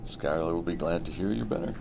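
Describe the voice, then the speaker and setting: A man playing an affable middle-aged brother-in-law feigning friendly concern, chatty and slightly halting, at a table read. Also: sits low, gravelly. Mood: feigned concern. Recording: noisy amateur recording.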